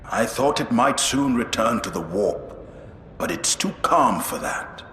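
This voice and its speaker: Forceful, sober warrior adviser. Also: male voice, mid-range voice, big pitch swings, unhurried, reverberant, in a large hall, a little hiss or hum. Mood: stern, uneasy. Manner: sober, firm. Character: stern, disciplined male space marine adviser. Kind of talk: dramatic game cutscene acting.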